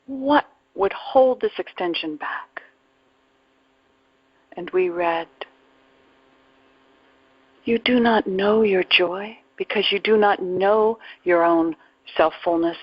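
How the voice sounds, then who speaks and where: A woman guides a spiritual meditation at a very slow pace, leaving long pauses between phrases. Her voice is breathy and soft-edged, sometimes sinking toward a whisper. The recording is dry and close, but dull and muffled. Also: medium pitch, no echo. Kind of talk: guided meditation, reflective spiritual talk with readings.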